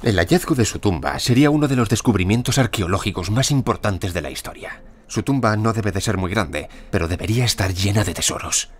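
Actor as an earnest, low-voiced archaeologist. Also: male voice, expressive, brisk, slight background noise, natural voice. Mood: earnest, stubborn. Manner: earnest, terse, resolute. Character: obsessed, earnest, blunt male English archaeologist. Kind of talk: Spanish-language audio drama acting and narration.

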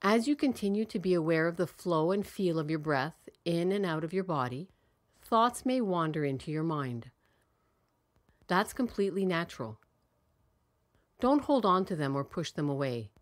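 A woman leading a guided meditation in a calm, soothing, measured way with long pauses between phrases. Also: fairly low pitch.

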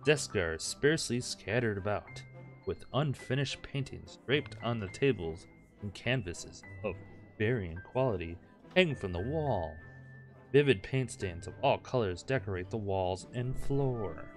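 A man with a fairly low voice reads a visual novel aloud as a gaming host. He is irreverent and crude, breaking off for joking asides, with clearly audible music playing behind him. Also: lively intonation, unhurried. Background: game music.

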